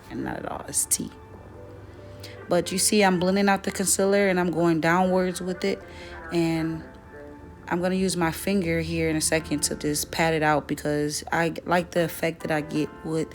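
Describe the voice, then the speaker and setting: Slightly raspy young woman, low flat voice. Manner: relaxed, chatty, self-correcting. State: voice dry from weather. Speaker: beauty vlogger. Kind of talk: casual makeup tutorial chat.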